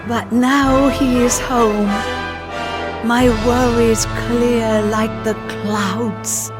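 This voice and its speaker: A woman's voice: fairly high pitch, steady tone, drawn-out words. A woman playing an elderly village mother in a video game, solemn and formal, in short verse-like phrases. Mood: solemn gratitude. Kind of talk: video game cutscene acting. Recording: loud music behind.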